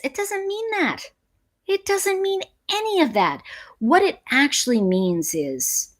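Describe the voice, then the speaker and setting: American woman, middle-aged, fairly high voice, for a chatty livestream host role; emphatic, conversational delivery with repeated stressed phrases. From United States. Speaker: teacher. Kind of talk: casual livestream chat, reading and answering viewer comments.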